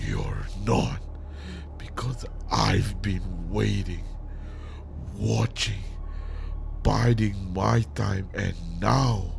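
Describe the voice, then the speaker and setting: A man acting a grieving, unhinged father turned vengeful villain in a dramatic audio monologue, with menacing, anguished delivery and long pauses. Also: fairly low pitch, creaky, a little hiss or hum, heavily acted.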